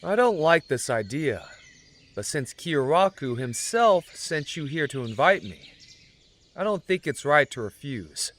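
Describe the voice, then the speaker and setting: A man's voice: fairly high pitch, sing-song, unhurried, a bit bright. A man playing a hot-headed, stubborn young father, exasperated and grumbling, in an anime fan audio drama. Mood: teasing.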